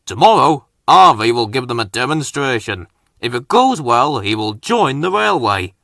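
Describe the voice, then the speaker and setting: A proud, authoritative railway boss with a kindly streak, as a male reader plays him for a storybook. The delivery is animated and bright. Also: mid-range voice, moderate pace, gravelly, no echo, clean studio sound, exaggerated voice. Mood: formal.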